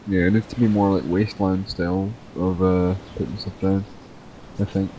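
A man playing a survival game with a friend, chatting casually with loud teasing outbursts and swearing. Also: sits low, little pitch movement, normal speed, a little hiss or hum, poor audio. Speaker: fellow player. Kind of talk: casual gaming chat.

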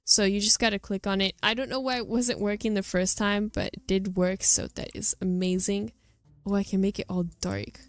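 A woman's voice: medium pitch, animated, moderate pace, no room sound. A woman hosting a gaming video, giving casual, chatty commentary as she shows things off. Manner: chatty and informal. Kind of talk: casual game showcase commentary.

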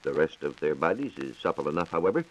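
An American man explaining science in a measured, formal way on a 1940s radio program. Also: extremely low pitch, very animated, highs cut off. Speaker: science reporter and radio co-host. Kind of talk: 1940s radio science talk, answering questions. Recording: 1940s radio transcription disc.

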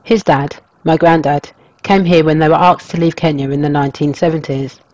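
A man reading a scripted documentary narration as a storyteller, in a measured, even way. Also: a little high, no echo, muffled recording. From England.

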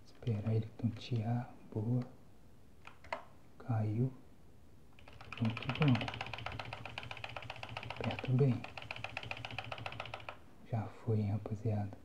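A young Brazilian man giving casual, slangy ASMR gameplay commentary in a soft, breathy whisper. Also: on the low side, steady tone, slow pace, pauses often, lo-fi sound, very noisy. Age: young adult. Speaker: gamer, ASMR channel host. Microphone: phone microphone, close.

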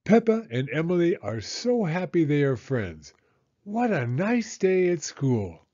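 An older man reading a children's story aloud as a storyteller, with expressive, deliberate phrasing for young listeners. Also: on the high side, muffled recording. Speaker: storyteller, elementary school teacher.

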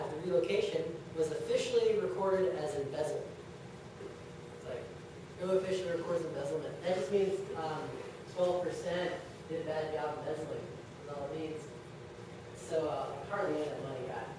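A young man giving a casual slideshow talk, loose and full of fillers, with wry jokes. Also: a little high. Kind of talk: casual lecture. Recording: echoey hall from far off, background noise.

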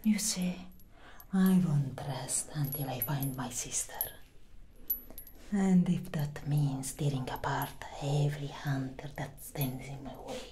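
A woman playing a commanding, menacing elder vampire sister with a breathy, measured, imperious delivery in a soft-spoken ASMR roleplay. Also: a bass voice, fairly even intonation. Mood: cold menace. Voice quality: breathy, whispery.

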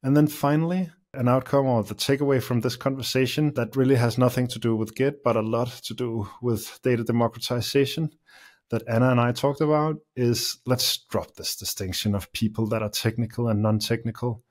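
A middle-aged man hosting a podcast, introducing the episode and questioning his guest in a halting way with many uh fillers, close on a studio condenser mic. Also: medium pitch, fairly even intonation. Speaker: podcast host. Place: small room with curtain backdrop. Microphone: studio condenser mic with pop filter.

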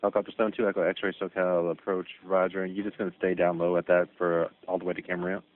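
A man's voice: sits low, flat delivery, fast talker. A man working as an air traffic controller, giving casual procedural instructions over the radio. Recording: tinny air traffic radio, faint noise.

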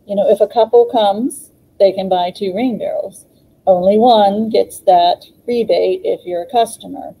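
A woman's voice: medium pitch, fairly even intonation, unhurried, mellow, low-quality recording. A woman in her fifties, co-hosting a live online garden clinic over a video call, chatting casually with frequent ums and little laughs. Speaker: co-host, extension educator. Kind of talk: casual live-stream chat.

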